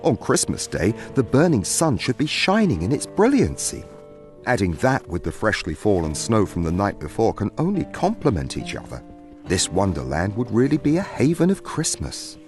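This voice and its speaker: A man's voice: lowish voice, huge pitch swings, normal speed, a little mellow, faint music behind. A man narrating a scripted fantasy story in a theatrical storybook manner.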